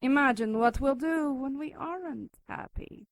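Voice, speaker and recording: A woman's voice: high-pitched, measured pace. An actress playing an imperious, taunting female demon who leads a gang, in an audio drama. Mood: cold menace. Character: cold, menacing female demon gang leader.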